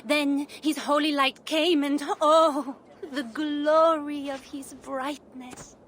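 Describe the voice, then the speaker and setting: A zealous female preacher in a video game. She is high-voiced and slow, solemn and dramatic, every phrase weighed, with some ambient noise around her. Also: flat delivery, a bit bright. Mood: rapt, reverent. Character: fervent, pious female preacher claiming holy healing powers. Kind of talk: video game sermon acting.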